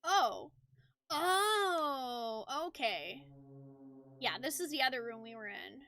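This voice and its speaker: A woman from the United States, chatty and expressive, livestreaming as she talks with viewers and reads game narration aloud. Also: high-pitched, slow, dry recording. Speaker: game streamer. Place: indoors, game livestream with music behind.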